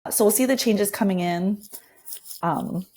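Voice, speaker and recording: A woman's voice: mid-range voice, unhurried, darkish tone. A woman speaks as a guest designer demonstrating her workflow. She is casual and informal, thinking aloud while she explains each step of what she is doing. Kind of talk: casual screen-share walkthrough.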